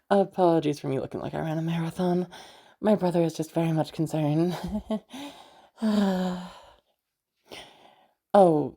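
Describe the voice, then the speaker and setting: A woman playing a protective, easygoing big sister in an intimate audio roleplay, speaking in a halting, reassuring way with sighs and casual swearing. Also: low voice, vocal fry, warm tone, heavily acted. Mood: sheepish, relieved. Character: protective, mysterious, easygoing big sister escorting a new acquaintance.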